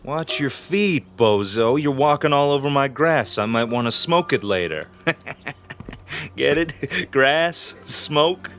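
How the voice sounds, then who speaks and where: Slow-drawling, cocky young biker. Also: male voice, medium pitch, lively intonation, a bit bright. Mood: mocking. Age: young adult. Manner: drawling, taunting slang. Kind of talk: radio drama acting.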